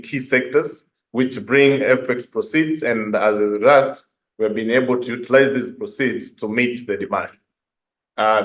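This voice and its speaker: A man speaks at an average pitch. He answers formally and explains at length, like a senior banker on a corporate conference call. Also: low-quality recording. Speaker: bank head of asset and liability management.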